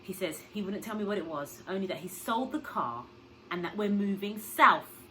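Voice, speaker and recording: An emotional mother from a children's storybook, voiced by a woman reading aloud. She speaks in emphatic exclamations. The recording has clear noise behind the voice. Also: medium pitch, lively intonation, normal speed, pushed and bright, voice pushed up, low-quality recording. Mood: upset, exasperated.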